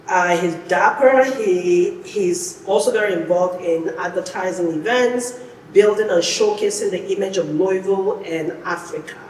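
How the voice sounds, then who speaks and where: A woman's voice: mid-range voice, darkish tone. A woman hosting a podcast, introducing and interviewing with an emphatic delivery that repeats phrases for effect. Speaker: podcast host. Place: indoor podcast studio. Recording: echoey, reverberant room, distant mic, faint noise.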